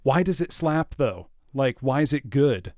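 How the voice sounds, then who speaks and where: A man's voice: mid-range voice, animated, unhurried, a little mellow, no room sound, clean studio sound. A man hosting a livestream, chatting informally and enthusiastically while musing aloud. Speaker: livestream host. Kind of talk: casual livestream chat.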